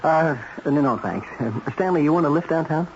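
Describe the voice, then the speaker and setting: An actor playing a nervously affable American accomplice in a radio drama, halting and hesitant as he talks. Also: a little high, lively intonation. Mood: forced cheer. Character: jittery, guilt-hardened male accomplice, nervously affable. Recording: dull, noisy vintage radio broadcast.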